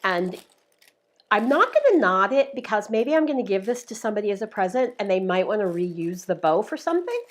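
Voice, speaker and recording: A woman's voice: medium pitch, big pitch swings, brisk. Chatty woman demonstrating crafts. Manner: chatty, upbeat step-by-step explaining. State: slightly losing her voice. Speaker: craft demonstrator, show host. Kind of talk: live-streamed craft tutorial.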